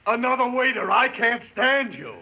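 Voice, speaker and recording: An actor playing a surly, disdainful waiter in an old radio comedy. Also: male voice, very high voice, moderate pace. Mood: snide. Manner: snide, openly disdainful. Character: surly insulting waiter at a clubhouse. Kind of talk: 1950s radio comedy acting. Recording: muffled, very noisy old radio recording.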